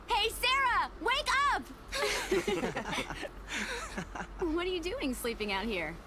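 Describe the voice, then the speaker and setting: Perky young village woman, game role: high, swooping voice, bossy and teasing, music behind. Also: wildly swooping pitch, very noisy, full character voice. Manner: brisk, teasing, scolding. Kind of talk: animated video game voice acting.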